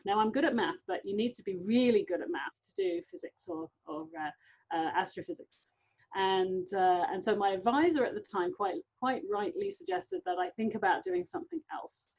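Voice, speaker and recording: A woman's voice: medium pitch, creaky, a little mellow. The keen English professor from London you'd hear giving an online science talk to the public, a middle-aged woman on slightly dull call audio. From London, England. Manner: informal, enthusiastic. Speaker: guest scientist, university professor.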